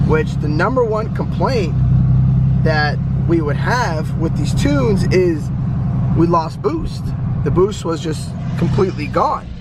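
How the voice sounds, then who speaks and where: Hoarse man vlogging from a truck. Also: fairly high pitch. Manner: chatty, rambling, clears throat. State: hoarse, lost voice. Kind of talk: casual vlog talk. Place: inside a truck while driving. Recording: noisy car interior. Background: engine and road noise.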